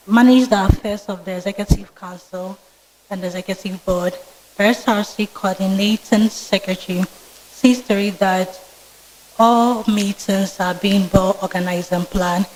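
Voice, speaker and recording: A soft-spoken young woman in her twenties with a Ghanaian English accent, giving polite, formal answers as a student candidate in a vetting interview. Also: medium pitch, steady tone, normal speed, low-quality recording. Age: 20s. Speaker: student candidate being vetted. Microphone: microphone with feedback.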